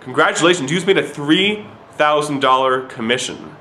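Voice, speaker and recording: A man giving an urgent, repetitive, preachy sales pitch straight to the camera. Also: moderate pace, pushed and bright, reverberant, slight background noise. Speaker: recruiting pitch to viewers.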